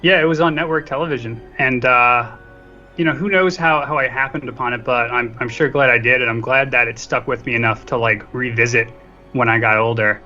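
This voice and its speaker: A man's voice: mid-range voice, steady tone, a little fast, pushed and bright. A man chatting casually as a guest on a podcast. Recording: dull lo-fi mic, faint music behind.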